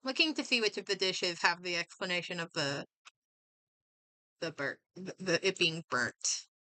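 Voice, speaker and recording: A woman doing casual game stream commentary, reading aloud and reacting in a halting, think-out-loud way. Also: on the low side, expressive, dry recording, low-quality recording.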